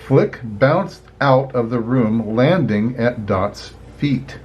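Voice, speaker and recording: An older man reads a picture book aloud like a grandparent, slowly and with plenty of rise and fall in his voice. He slips in chatty asides as he goes. The sound is a bit dull and lo-fi. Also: medium pitch. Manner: expressive, unhurried, chatty asides. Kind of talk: children's storybook reading with asides.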